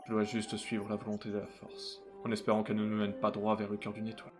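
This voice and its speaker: A composed warrior-monk mentor, acted by a man in a dramatic comic dub. His voice sits fairly low and stays very even, measured and solemn, with loud music behind him. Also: lightly acted. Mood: contemplative.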